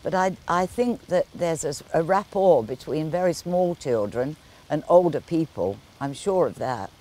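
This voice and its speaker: An older woman with a deep voice and careful, clear enunciation, answering questions as a talent show judge. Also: expressive, moderate pace, warm tone. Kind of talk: TV interview answers.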